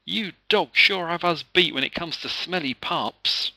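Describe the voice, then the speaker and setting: A man's voice: on the high side, a little slow, gravelly, sharp tone, no room sound, pitched above the performer's own voice, heavily acted. A man voicing an earnest, chatty young schoolboy in a children's story. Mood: amused. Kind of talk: children's story read aloud.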